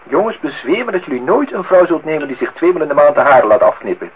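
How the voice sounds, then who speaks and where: A man's voice: fairly high pitch, lively intonation, fast, natural voice. An actor playing a wry, grumbling man acting the husband and father in a Dutch radio play, with a dry, theatrical irony. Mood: wistful, ironic. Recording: tinny, band-limited old radio recording, faint noise.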